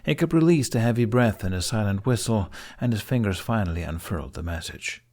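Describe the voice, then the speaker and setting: Low-voiced man narrating a story. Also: lively intonation, brisk, darkish tone. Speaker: audiobook narrator. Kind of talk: expressive scripted story narration.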